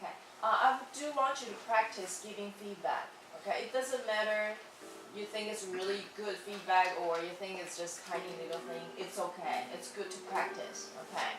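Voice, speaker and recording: A woman teacher giving classroom feedback in a fairly monotone voice, often checking "okay?" as she goes. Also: medium pitch, normal speed, background noise. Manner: ends many sentences with a checking "okay?". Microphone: far from the mic. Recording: echoey room, far off, loud music behind. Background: loud music.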